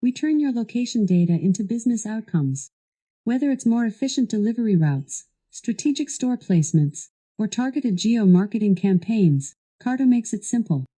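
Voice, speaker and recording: A woman's voice delivering scripted instructional narration as a voice assistant, in a measured, even and formal way. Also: fairly high pitch. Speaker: voice assistant guiding a product demo.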